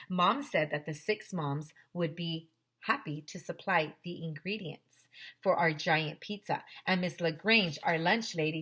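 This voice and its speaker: Bright-voiced woman reading a storybook. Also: on the low side, slightly rough. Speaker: teacher reading aloud to pupils. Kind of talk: children's storybook read-aloud.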